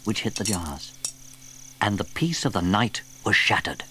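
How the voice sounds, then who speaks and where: A man narrating a children's story from a script in an expressive storytelling manner. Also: lowish voice, measured pace, heavy background noise. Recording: vintage cassette tape. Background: music.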